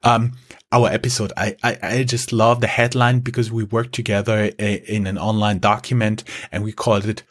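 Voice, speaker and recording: A man's voice: fairly low pitch, level tone, moderate pace, no room sound. A man hosting a podcast interview, introducing the episode and his guest with an upbeat, slightly stumbling delivery. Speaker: host.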